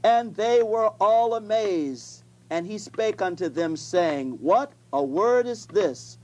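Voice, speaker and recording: A reader delivering scripture in a deliberate manner, halting at times. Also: medium pitch, expressive, darkish tone. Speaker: Bible reader. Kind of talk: scripture reading.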